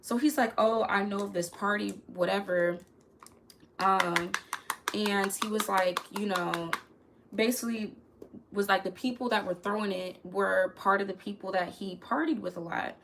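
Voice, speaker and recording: Young woman, crackly voice, candid chatty storyteller, mid pitch. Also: steady tone, moderate pace, creaky, a bit bright. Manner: chatty, candid, talks to viewers as "y'all". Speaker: YouTuber telling a story. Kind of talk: casual storytime vlog.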